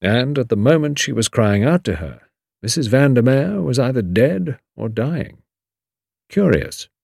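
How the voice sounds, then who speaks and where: Male reader as older English lawyer, commanding, dry wit, measured, precise, slightly husky, audiobook dialogue. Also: mid-range voice, expressive, a little mellow, dry recording, voice pushed up, heavily acted. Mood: dry, probing.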